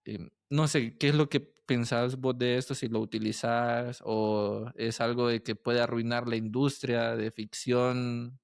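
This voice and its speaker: A man with a Latin American Spanish accent that uses vos, hosting a casual interview and thinking aloud in a halting way. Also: mid-range voice, flat delivery, vocal fry, clean tone, dry recording, studio quality. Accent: Latin American Spanish with voseo. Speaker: podcast host and interviewer.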